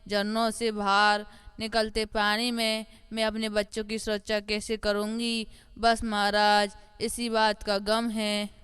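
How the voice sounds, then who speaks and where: Woman voicing a smooth, monotone mother goat. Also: fairly high pitch, no echo. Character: worried, humble ageing mother goat, deferential. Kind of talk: Hindi animated moral-story voice acting.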